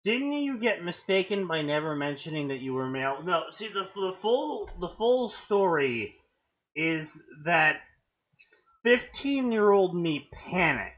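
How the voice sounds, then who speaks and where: A man's voice: sits high, very animated, unhurried, a bit bright. A man co-hosting a fanfiction-reading stream, rambling with dry, wry jokes in casual banter. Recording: dull lo-fi mic.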